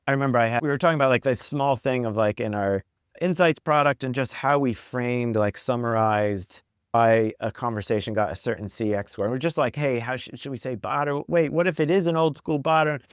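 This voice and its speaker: A man's voice: medium pitch, fairly quick, smooth, no room sound, studio quality. A man speaking as a podcast guest, chatting casually and acting out remarks as he explains his thinking. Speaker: podcast guest, product executive. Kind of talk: casual interview conversation.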